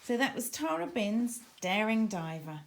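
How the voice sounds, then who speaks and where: A woman reads a children's storybook aloud in a high voice. Her delivery swings widely in pitch, with dramatic, animated emphasis in the storytelling. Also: a little slow, creaky, slight background noise. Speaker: author reading own children's book. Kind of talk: expressive children's storybook narration.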